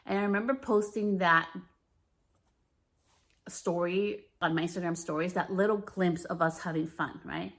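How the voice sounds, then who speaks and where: A woman in her thirties with a deeper natural voice, hosting a podcast in a frank, candid monologue that swears freely. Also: fairly even intonation, normal speed, long pauses. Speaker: podcast host.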